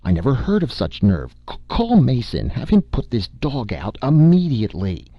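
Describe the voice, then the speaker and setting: A man voicing a shrill, haughty society mother who is disgusted by a dog. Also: huge pitch swings, normal speed, breathy edge, no echo, lo-fi sound, heavily acted. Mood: imperious.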